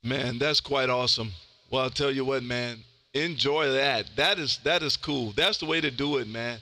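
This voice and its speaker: A man's voice: mid-range voice, normal speed, pushed and bright, slight background noise. A man hosting a live amateur radio stream, chatting casually in an effusive, folksy way and peppering his talk with "man". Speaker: amateur radio livestream host. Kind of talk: casual radio chat with listeners.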